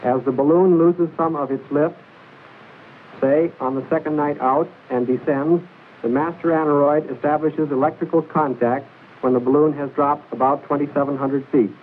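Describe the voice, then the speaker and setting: A man narrates an old military training film in a formal, measured, precise instructional style, reading from a script. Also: on the high side, fairly even intonation, narrow, tinny audio. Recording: hissy band-limited 1940s film soundtrack.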